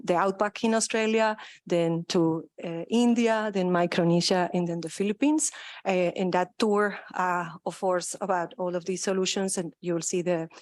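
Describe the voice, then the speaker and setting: A middle-aged woman with a Colombian accent and Spanish as her first language, moderating a conference session in a halting, informal way. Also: fairly low pitch, no echo. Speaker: panel moderator. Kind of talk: conference presentation.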